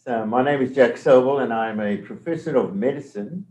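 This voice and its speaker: An older man with a South African accent, a medical professor explaining things in an interview with measured, careful talk and frequent restarts. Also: medium pitch, a little mellow, reverberant.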